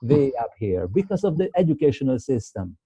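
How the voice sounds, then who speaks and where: Hungarian-accented male webinar host, non-native English speaker, halting delivery, very dark tone, dry close sound. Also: mid-range voice, very animated, husky, no echo. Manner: halting, many repeated words and fillers. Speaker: webinar host, medical doctor. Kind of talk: unscripted webinar Q&A.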